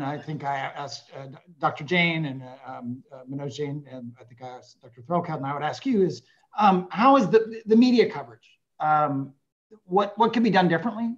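A man in his fifties interviewing conversationally over a video call from a room indoors. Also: a little high. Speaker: interviewer, journalist. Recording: slightly dull video call audio.

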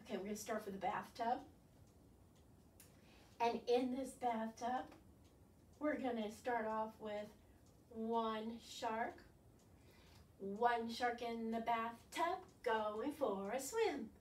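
High-pitched older woman leading storytime. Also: slow pace, long pauses, a little hiss or hum. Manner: animated, instructing, with frequent questions to children. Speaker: children's librarian leading storytime. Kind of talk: children's storytime, addressing young viewers. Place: small living room, indoors. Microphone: far camera. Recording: roomy, distant camera microphone.

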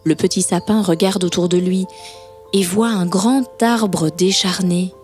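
Low-pitched woman storyteller. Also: fairly even intonation, measured pace. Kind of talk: scripted children's story narration. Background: soft background music.

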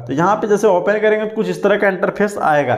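A man's voice: high-pitched, fairly quick, reverberant. A man narrating a phone screen-recording tutorial, listing app features one by one.

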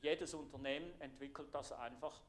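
A middle-aged man from Thurgau with a Swiss German accent, giving an earnest conference presentation in a lecture room with plenty of filler pauses. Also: medium pitch, steady tone. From Thurgau, Switzerland. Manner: earnest, explanatory, pausing with fillers. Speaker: IT architect giving a conference talk. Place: lecture room, standing before a projected slide.

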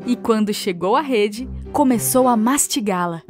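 A woman's voice: a little high, animated, normal speed. A woman narrating a scripted children's story. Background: music.

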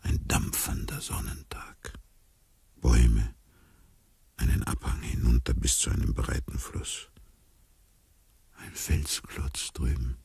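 An actor playing a bewildered amnesiac man in a radio play, musing inwardly in a hushed, wryly detached way. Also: very deep voice, little pitch movement, slow, vocal fry, long pauses, husky, exaggerated voice. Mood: dreamy, wondering. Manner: hushed, musing, often near whispering. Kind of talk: radio play inner monologue.

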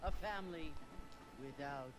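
A woman's voice: sits low, a little slow, faint music behind, low-quality recording. A woman playing a prim, pompous older society lady in a game cutscene, judgmental and genteel. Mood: disdainful. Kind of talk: scripted game cutscene dialogue.

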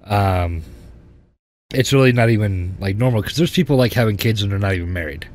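A man in his thirties hosting a podcast, telling his life story in a rambling, halting way with many restarts. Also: lowish voice, expressive, a little mellow, a little hiss or hum. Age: 30s. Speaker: podcast host. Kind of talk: casual personal storytelling.

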